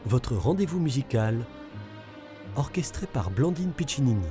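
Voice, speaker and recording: A man's voice: medium pitch, big pitch swings, unhurried. A man reading a scripted radio programme introduction as the announcer. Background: music.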